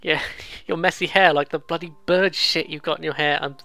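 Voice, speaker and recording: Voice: medium pitch, fairly even intonation, normal speed, bright, forceful tone, dry recording, faint music behind. A British let's player giving casual, rambling, amused commentary on a visual novel, with some swearing. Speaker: let's play host.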